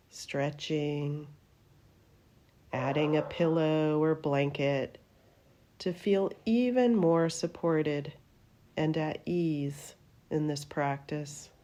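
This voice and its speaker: Woman leading a guided meditation, really slow and soothing, soft dark mellow voice. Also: sits low. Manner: soothing, gentle, unhurried phrases with trailing endings. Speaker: yoga nidra meditation teacher.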